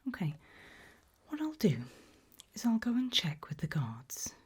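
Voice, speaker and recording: A woman playing a friendly, forgetful research scientist in an ASMR roleplay, speaking in a hesitant, polite, breathy whisper. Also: fairly high pitch, wildly swooping pitch, a little slow, heavily acted. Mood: reassuring. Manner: hesitant, polite, soft-spoken.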